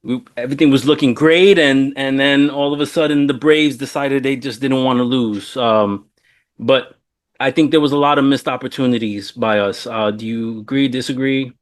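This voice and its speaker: Man in his late forties, podcast host, informal, slangy, mid-pitched voice, casual sports talk. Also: normal speed. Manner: informal, laid-back, slangy, calls guest "man" and "bro". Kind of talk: casual sports interview chat.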